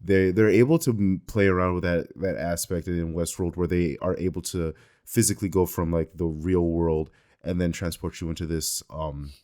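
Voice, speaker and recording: A man on a podcast panel giving measured, hedged opinions in casual chat. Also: low voice, fairly even intonation, warm tone, dry recording, clean studio sound.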